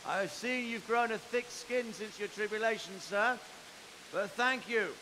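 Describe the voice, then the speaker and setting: Bossy formal medieval noble in a game, high sharp voice, big reverb and hiss. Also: male voice, unhurried. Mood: grave, cordial. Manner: commanding, formal. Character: stern, commanding medieval nobleman, brusque but courteous to allies. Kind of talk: video game cutscene acting.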